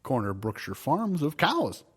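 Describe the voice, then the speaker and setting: Husky man with lively pitch bantering. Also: normal speed. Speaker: improv comedy co-performer. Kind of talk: casual improvised radio banter.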